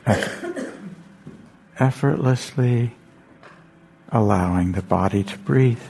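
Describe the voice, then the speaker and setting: Slow, creaky low-voiced man guiding meditation. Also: a little hiss or hum. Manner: gentle, unhurried, repeating phrases. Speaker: meditation teacher. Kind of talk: slow guided meditation with long pauses.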